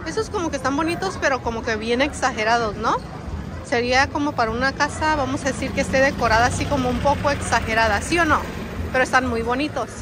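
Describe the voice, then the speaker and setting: A woman's voice: high-pitched, fast talker, background noise. A woman, filming a casual walking tour on her phone and chatting to her viewers as friends. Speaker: vlogger. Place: large outdoor swap meet. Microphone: phone camera held while walking. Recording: outdoor market with crowd and music. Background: crowd chatter and music at the market.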